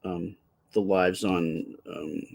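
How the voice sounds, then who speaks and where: A man with a quiet, soft voice chatting casually with viewers during a live stream while he draws. He rambles and stops to restart his sentences, speaking close to the microphone. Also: low-pitched, steady tone, creaky. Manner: rambling, halting, with many fillers and restarts.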